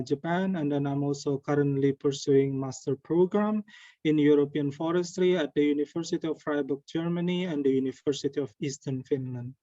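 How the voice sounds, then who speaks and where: A young man from Indonesia giving an earnest online presentation over a video call, with pauses and restarts. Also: on the high side, warm tone, no echo. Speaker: webinar presenter. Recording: dull lo-fi call audio.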